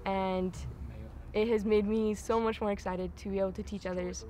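A young woman from Utah, a missionary, speaking with bright enthusiasm in an outdoor interview. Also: medium pitch, little pitch movement, normal speed. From Utah, USA. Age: young adult.

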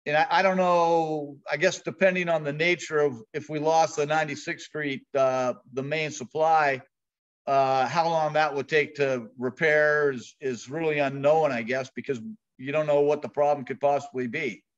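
Halting man, slightly high voice, muffled call. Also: steady tone. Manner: halting, stammering repeated starts. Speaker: committee member. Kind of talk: questioning meeting discussion. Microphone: video call. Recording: muffled video call audio.

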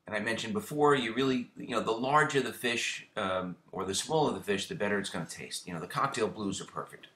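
Bright-voiced man with lots of fillers. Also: on the low side, fairly quick, few pauses, echoey room. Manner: relaxed, with frequent fillers and repeated words. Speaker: fishing channel host. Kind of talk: casual how-to narration.

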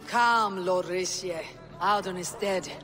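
An actress playing a proud, steadfast Viking woman warrior in a video game, speaking in a measured, formal way. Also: on the low side, fairly bright tone, slight background noise. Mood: measured, diplomatic. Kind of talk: dramatic game cutscene acting.

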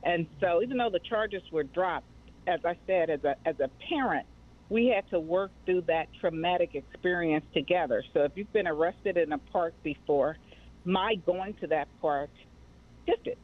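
A woman's voice: fairly low pitch, moderate pace. A middle-aged woman giving personal answers as an interview guest over a remote call. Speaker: guest, mental health advocacy director. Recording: tinny, phone-like band-limited line.

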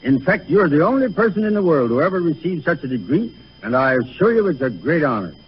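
A man's voice: fairly high pitch, very animated, quick pace, husky. A man with a formal, courteous and reassuring manner, playing straight in a scripted 1930s radio comedy. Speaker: guest academic presenting a degree. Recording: muffled 1930s radio recording, faint noise.

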